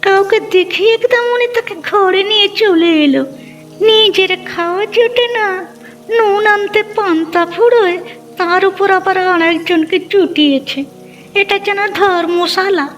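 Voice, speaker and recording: A woman's voice: very high-pitched, a little slow. A woman voicing a sarcastic, grumbling village wife in a cartoon story, nagging, in dull sound with music. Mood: sarcastic, annoyed.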